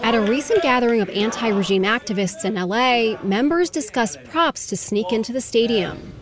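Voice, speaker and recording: A woman reporter narrating a scripted radio news story in a measured, even delivery. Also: fairly high pitch, a crowd in the background.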